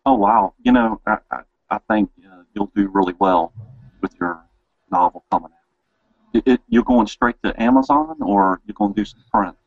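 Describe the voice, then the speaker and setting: Gravelly, husky man with swooping pitch. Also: very animated, moderate pace, no echo. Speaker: interviewer, show host. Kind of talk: casual interview chat. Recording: dry, dull lo-fi audio.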